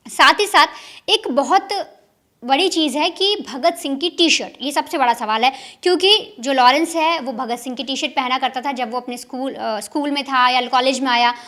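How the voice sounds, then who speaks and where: A woman's voice: sits high, normal speed, pushed and bright. A woman crime news reporter explaining a case to viewers in an emphatic, repetitive way. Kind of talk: explanatory news commentary to camera.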